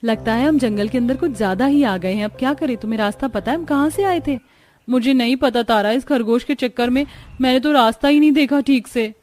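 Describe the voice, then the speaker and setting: A woman playing a young village daughter-in-law in a cartoon story, expressive and chatty. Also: high voice, a little fast, lightly acted. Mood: earnest, animated. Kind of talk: Hindi cartoon story dialogue.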